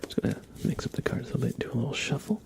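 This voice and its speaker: A man hosting an ASMR livestream in a soft, breathy half-whisper with a creaky low voice, playful and unhurried, close on the microphone. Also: noisy background. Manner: playful, gently joking, unhurried. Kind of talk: ASMR tarot card reading for viewers.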